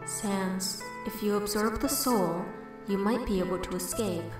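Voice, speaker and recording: A woman's voice: medium pitch, a little slow, natural voice. A woman voicing an unseen, sympathetic fan who reads out questions to the hero in a comic dub. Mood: curious. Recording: heavy echo over background music.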